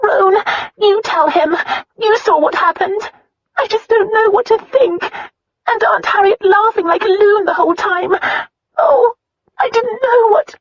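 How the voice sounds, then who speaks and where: A man voicing a distressed young noblewoman who wails high and tearfully in a storybook reading. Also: extremely slow, few pauses, full character voice. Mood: tearful wailing. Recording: slightly muffled dry recording.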